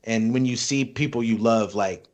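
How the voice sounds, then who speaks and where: A man's voice: mid-range voice, moderate pace, darkish tone. A middle-aged man speaking bluntly and earnestly, with casual swearing, as a podcast co-host. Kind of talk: casual chat.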